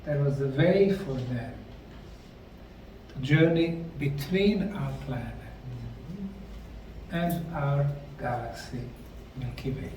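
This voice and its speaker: A middle-aged man slowly narrating a documentary on location at ancient ruins, explaining the sites like a tour guide. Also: mid-range voice, animated, warm tone, a little hiss or hum. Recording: roomy, distant mic with faint outdoor ambience.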